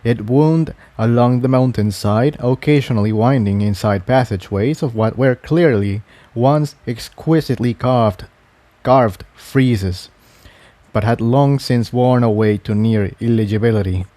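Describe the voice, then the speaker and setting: A man's voice: medium pitch, a little slow, warm tone, no room sound. A man reading a scripted adventure story aloud as its narrator. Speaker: fan fiction narrator.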